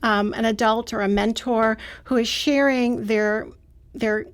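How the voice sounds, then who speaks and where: A woman's voice: fairly high pitch, fairly even intonation, a little slow. A woman describing how her radio program is made, talking at length with frequent fillers. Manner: explains at length with many fillers. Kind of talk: radio interview.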